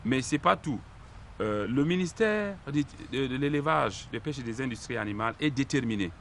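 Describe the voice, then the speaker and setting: Interview guest: Cameroonian man, medium-pitched, formal but halting and deliberate, with slight noise behind him. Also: expressive, fairly bright tone. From Far North region of Cameroon. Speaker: dairy industry representative being interviewed.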